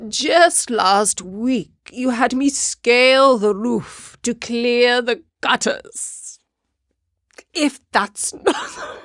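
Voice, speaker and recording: A pompous talking tomcat from a children's storybook, performed by a woman. He is vain and forever aggrieved, stretching out his words in a slow, theatrical drawl. The sound is close and dry. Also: a little high, very animated, a bit bright, dry recording, exaggerated voice. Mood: indignant. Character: grumbling, vain, indignant male talking cat tutor.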